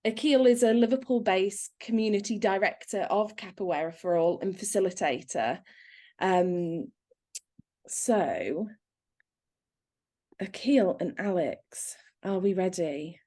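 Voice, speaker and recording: Slow-talking upbeat woman hosting. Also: medium pitch, mellow. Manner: upbeat, encouraging. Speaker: event host. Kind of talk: online event hosting. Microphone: video call.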